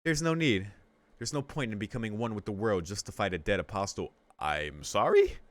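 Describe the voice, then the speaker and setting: A man reading a calm, mocking vampire princess. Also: fairly low pitch, moderate pace, no echo. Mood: calm taunting. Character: proud young vampire princess, calm fighter.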